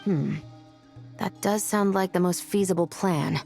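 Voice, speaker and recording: A woman's voice: fairly low pitch, a little slow, creaky, darkish tone, soft background music. A woman playing a stern, dutiful patrol officer in a video game, firm and businesslike. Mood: matter-of-fact. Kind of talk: video game character acting.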